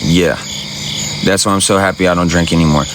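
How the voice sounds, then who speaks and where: A man's voice: deep voice, fairly even intonation, fairly quick, background noise. A man chatting casually on a livestream, laid-back and rambling, answering viewers in slangy everyday talk. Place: outdoors at night by a campsite. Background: crickets and night insects.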